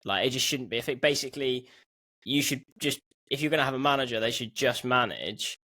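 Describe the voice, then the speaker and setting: A man's voice: mid-range voice, fairly quick, sharp tone. A young man hosting a podcast, asking questions in a loose, chatty way into a studio microphone. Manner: chatty, loose, says "like" a lot. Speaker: podcast host. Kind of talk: casual interview questions. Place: home room. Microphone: studio mic on a stand.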